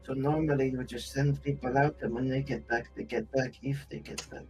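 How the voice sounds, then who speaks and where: A man's voice: mid-range voice, steady tone, a little fast, a little mellow, soft background music, rough recording. A man playing in a tabletop roleplay game, talking casually in and out of character.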